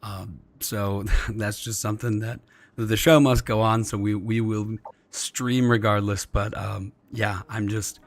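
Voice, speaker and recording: A man hosting a casual livestream, his voice slightly scratchy and subdued as he recovers from losing it, hesitant and often clearing his throat. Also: lowish voice, little pitch movement, unhurried. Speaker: streamer, host. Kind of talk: casual livestream chat with viewers and fellow players. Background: music.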